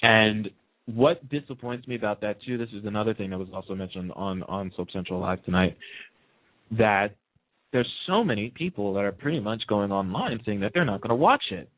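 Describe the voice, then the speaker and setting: A man with a fairly low voice hosts a casual talk radio show. He is opinionated and emphatic, repeating his points to drive them home. The sound is narrow and muffled, like a phone line. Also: lively intonation, fairly quick.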